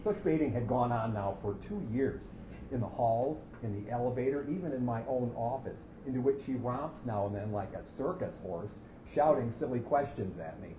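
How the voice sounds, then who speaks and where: A man's voice: medium pitch, expressive, normal speed, natural voice. A man playing a fussy, precise office clerk who coolly plots murder, speaking formally in a staged story reading. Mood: indignant, precise. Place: echoey hall at a live reading. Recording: muffled, noisy, echoey hall recording.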